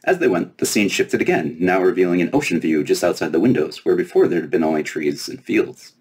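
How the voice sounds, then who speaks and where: A man with a low voice reads a children's story aloud as its author. The tone is a little husky, and the delivery is expressive storybook narration. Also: brisk. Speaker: author reading from own book.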